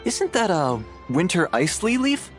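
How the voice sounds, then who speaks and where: A young male student adventurer in a video game. His voice sits a bit high and moves around a lot, casual and eager. There is faint music behind him. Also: very animated, moderate pace, a bit bright. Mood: attentive.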